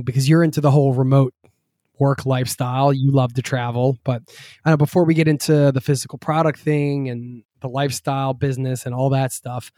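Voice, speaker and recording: A man's voice: medium pitch, warm tone, dry recording. A man hosting a podcast, asking questions in a friendly, easygoing way. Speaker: podcast host. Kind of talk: casual interview conversation.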